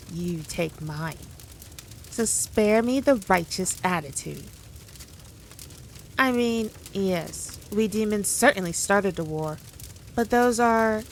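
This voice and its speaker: A woman playing a powerful demon queen in an ASMR roleplay, condescending, teasing and theatrical, with deliberate, drawn-out phrasing. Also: mid-range voice, slight background noise, lightly acted. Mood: sardonic, haughty. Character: powerful demon queen, haughty, teasing, dominant, toying with her foe. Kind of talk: scripted ASMR roleplay addressed to the listener.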